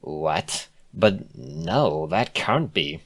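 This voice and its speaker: A man's voice: sits low, expressive, drawn-out words, fairly bright tone, heavily acted. Sardonic young mare, acted by a man, put-upon, dry, exasperated, storybook reading. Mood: bewildered.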